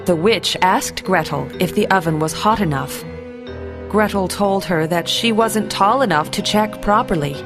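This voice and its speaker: A woman storyteller reading a scripted bedtime fairy tale for children in a measured, expressive way. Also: mid-range voice, music in the background.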